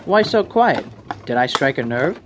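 An actor playing a teasing, easygoing frontier traveler in a radio drama, folksy and needling. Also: male voice, mid-range voice, very animated, normal speed, lightly acted. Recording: clear background ambience noise.

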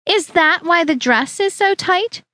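A woman voicing a snobbish middle-aged future mother-in-law who talks in a prim, condescending, drawn-out way. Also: extremely high pitch, lively intonation, sharp tone, dry recording, voice pushed up, exaggerated voice. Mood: sharp.

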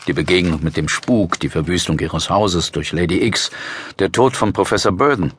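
Pensive detective hero of a horror radio play, deep, measured, matter-of-fact. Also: male voice, breathy edge. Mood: pensive, calm. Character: calm, thoughtful male investigator narrating his case. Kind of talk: audio drama dialogue and first-person narration.

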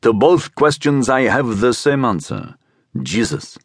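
A man voicing a strong older man who speaks deliberately and persuasively, with a guttural Egyptian accent, in a narrated story. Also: medium pitch, expressive, breathy edge, no echo, studio quality. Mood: cool, cryptic. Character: Nahor, strong, commanding older man with magnetic menace.

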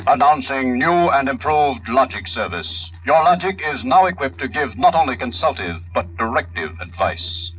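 Talking computer, mid-pitched, formal, impersonal, old radio sci-fi announcer delivery. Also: male voice, very animated, moderate pace, hardly any pauses, a bit bright, soft background music, rough recording. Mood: impassive, official. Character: impersonal talking computer giving official answers. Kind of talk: 1950s radio drama acting.

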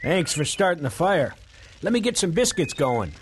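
Lively, higher-pitched eager cowhand. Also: male voice, normal speed, slight background noise. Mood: friendly. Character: friendly, eager cowhand who cooks for camp. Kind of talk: western audio drama dialogue.

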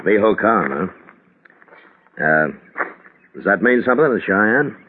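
Tough weary western lawman, american, deep voice, tinny vintage radio. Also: lively intonation, slow pace. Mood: patient, grave. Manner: curt, authoritative. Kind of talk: 1950s radio western acting. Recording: crackly, tinny 1950s radio recording.

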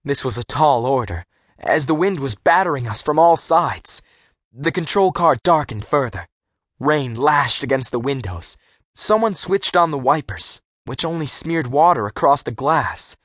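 A man with a medium-pitched voice tells a first-person adventure story. He reads expressively and articulates clearly, in a very dry studio sound suited to a young readers' audiobook. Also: expressive, unhurried, fairly bright tone, dry recording. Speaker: audiobook narrator.

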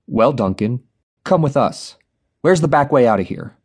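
Gun-waving male robber in a storybook reading, voiced by a man, loud, crude and commanding, pitched up when he bellows, his tone swinging wildly as he barks orders and threats. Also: expressive, moderate pace, husky. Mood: curt. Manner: shouting, vulgar, commanding. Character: violent male bank robber yelling orders.

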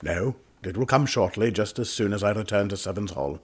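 Radio play: doomed upper-class gentleman, husky, haunted and anguished, with old-fashioned turns of phrase. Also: fairly low pitch, brisk. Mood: resigned, brooding. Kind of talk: radio drama acting.

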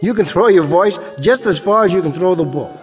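A man's voice: sits high, expressive, a little fast, soft background music. An American man playing an uneasy nightclub ventriloquist, the weary straight man to his dummy, in a radio drama. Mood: bantering.

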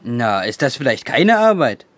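A man's voice: medium pitch, big pitch swings, moderate pace, fairly bright tone, no room sound. A male performer playing an eager, teasing schoolboy in a German children's audio drama. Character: eager, teasing schoolboy, easily talked round.

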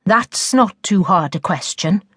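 A woman's voice: mid-range voice, animated, measured pace, a bit bright, husky, dry recording, clean studio sound. A woman voicing a weary, exasperated middle-aged male history teacher in a storybook, stern and sarcastic.

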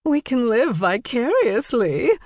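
A woman's voice: on the low side, very animated, takes it slowly, dry recording, studio quality, exaggerated voice. A woman voicing a tipsy, gossipy middle-aged Canadian socialite with slurred, effusive speech in an audiobook. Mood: giggly, suggestive. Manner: drawling, nosy, effusive.

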